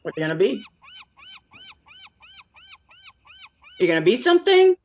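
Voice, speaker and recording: A woman's voice: a little high, very animated, racing, a little mellow, dull audio, slight background noise. A woman acting a blunt, lonely forty-nine-year-old who probes with wry questions in a naturalistic play. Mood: prodding. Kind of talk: naturalistic one-act play dialogue.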